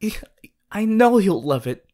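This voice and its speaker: A woman playing an eager, affectionate teenage boy hero in a fan ASMR roleplay, gushing and halting as she playfully addresses the listener. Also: mid-range voice, sing-song, mellow. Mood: eager, coaxing. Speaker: voice actor in a fan character audio.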